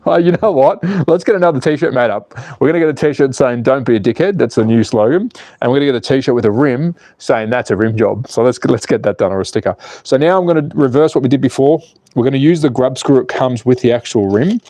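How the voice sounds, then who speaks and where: A man's voice: mid-range voice, fast, warm tone, no echo. Cheeky Australian man in his forties. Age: 40s. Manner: cheeky, swearing, laughs at his own jokes, reads out chat. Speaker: slot car channel host. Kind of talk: casual livestream chat.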